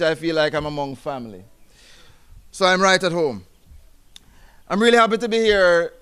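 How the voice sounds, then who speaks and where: A high-voiced man with a Jamaican accent addresses an awards ceremony. He talks in a loose, friendly way, stumbling into restarts and asides. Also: clean tone. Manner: chatty, warm, breaks into song, restarts often. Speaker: guest speaker, executive director. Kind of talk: public speech at a ceremony.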